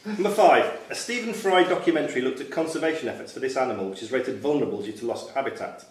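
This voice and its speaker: A man works as quizmaster, reading questions aloud to a room. His mid-pitched voice comes from some way off the microphone in a roomy space. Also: fast talker. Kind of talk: reading quiz questions aloud at a live event. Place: function room at a club quiz night. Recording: roomy, distant mic, faint noise, clicks.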